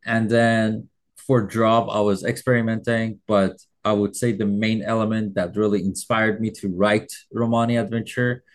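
A man whose first language is Farsi, a music producer giving a measured, explanatory account in an interview, often hedging with "like". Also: on the low side, level tone. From Iran. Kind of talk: casual interview storytelling.

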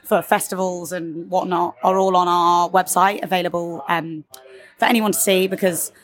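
A woman, a theatre company member, chatting casually in an interview with many ums and restarts; her voice is slightly husky today. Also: fairly low pitch, little pitch movement, moderate pace.

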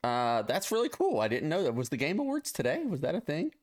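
A man's voice: fairly high pitch, huge pitch swings, fast talker. A man co-hosting a live-streamed podcast, chatting casually and joking, rambling and stumbling over his words. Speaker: podcast co-host. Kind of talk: casual chat.

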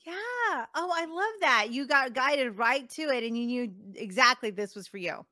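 A woman hosting a professional association podcast, upbeat and effusive, warmly affirming her guest. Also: high voice, expressive, normal speed, no echo, clean studio sound. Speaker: podcast host. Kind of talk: enthusiastic podcast interview.

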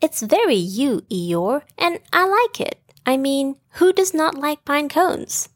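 Woman voicing a high, sweet little kangaroo child. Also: expressive, slow pace, dry recording, studio quality. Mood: approving. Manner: earnest, wide-eyed, encouraging. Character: young excitable kangaroo child, sweet and admiring. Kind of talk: bedtime storybook character voice.

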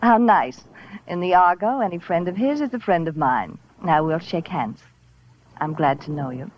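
A woman's voice: fairly low pitch, normal speed, natural voice. An actress playing a chatty young woman professor, coy, prim and playful, in a 1940s radio drama. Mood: friendly, coy. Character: chatty, bright-witted young female seismology professor. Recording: muffled, noisy 1940s radio recording.

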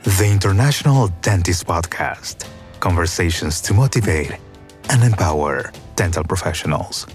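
A man reading a scripted podcast introduction in a measured announcer voice. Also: low voice, expressive, soft background music.

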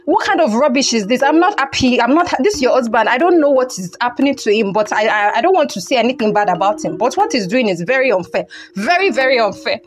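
A woman's voice: a little high, lively intonation, quick pace, hardly any pauses, lightly acted. A woman playing a concerned, protective, devout friend in an audio drama, with a Nigerian accent and an earnest, emphatic way of repeating phrases. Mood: indignant.

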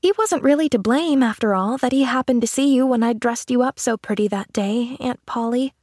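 A woman's voice: high-pitched, a little slow, dry recording, clean studio sound, voice pushed up. A woman voicing an eager, cheerful, affectionate young girl in a storybook, with a gushing, exclamatory manner. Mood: earnest, wistful. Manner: gushing, exclamatory, breathless wonder. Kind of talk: storybook character acting.

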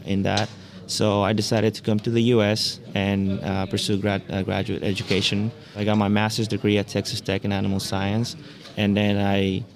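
Young man from Honduras, lowish voice. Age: young adult. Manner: earnest, self-introducing, with frequent fillers. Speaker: PhD student, podcast guest. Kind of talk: casual interview.

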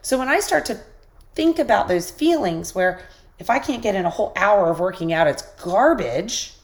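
A woman hosting a podcast, giving an encouraging motivational talk while sick with a squeaky voice. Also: expressive, moderate pace. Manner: encouraging, coaching listeners directly. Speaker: podcast host.

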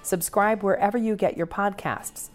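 A woman's voice: mid-range voice, fairly even intonation, moderate pace, soft background music. A woman reading scripted podcast announcements as the show's announcer. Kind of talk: scripted outro narration.

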